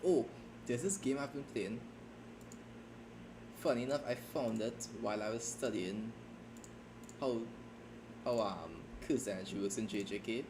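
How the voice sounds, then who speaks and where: Low-voiced guy streaming himself writing, rambly, keeps restarting, keys clacking loud behind him. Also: background noise. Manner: rambling, halting, self-deprecating. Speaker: streamer and fan fiction writer. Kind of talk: casual livestream chat while writing. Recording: keyboard typing audible behind voice.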